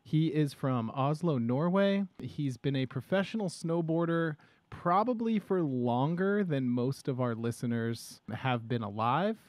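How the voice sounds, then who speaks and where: A man in his thirties hosting a casual podcast at a desk mic, animated and enthusiastic, with casual swearing. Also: a little high, measured pace, no echo. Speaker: podcast host. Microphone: studio microphone at a desk.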